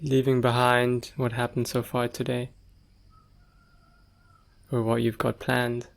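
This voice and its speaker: Slow, creaky man guiding meditation. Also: medium pitch, completely flat delivery. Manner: gentle, unhurried, with long pauses. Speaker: meditation teacher. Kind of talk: guided meditation.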